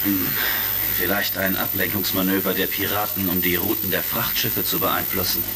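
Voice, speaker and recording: An actor playing a confident, brisk space commander in a German sci-fi audio drama. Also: male voice, low-pitched, gravelly. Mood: attentive. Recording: retro crackly audio drama recording with music.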